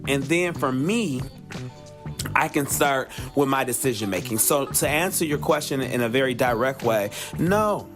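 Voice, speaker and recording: A man hosting a podcast, giving conversational advice in an emphatic way and repeating phrases for effect. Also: mid-range voice. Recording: music playing under the voice. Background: music.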